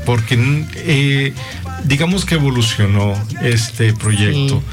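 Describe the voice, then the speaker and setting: A man hosting a live university radio talk show, chatty and expressive, speaking in a radio studio. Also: medium pitch, slow, over music. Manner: warm, chatty, expressive, lively intonation. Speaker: radio host.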